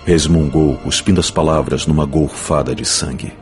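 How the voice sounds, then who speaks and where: Very deep-voiced male fantasy narrator. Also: fairly even intonation, normal speed. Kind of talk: dramatic scripted narration of a fantasy novel. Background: music.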